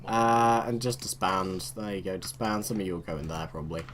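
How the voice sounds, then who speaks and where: A man's voice: low-pitched, brisk, a bit bright, slight background noise. Guy rambling over his strategy game, chatty and self-mocking, faint typing behind. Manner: rambling, muttering to himself. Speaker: let's play gamer. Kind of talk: casual gameplay commentary.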